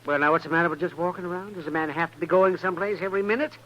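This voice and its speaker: An American actor playing a testy, querulous older town doctor in a 1950s radio western. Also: male voice, high voice, racing. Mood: testy, bantering. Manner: querulous, animated. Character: crusty, testy older male frontier doctor. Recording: muffled, noisy old radio recording.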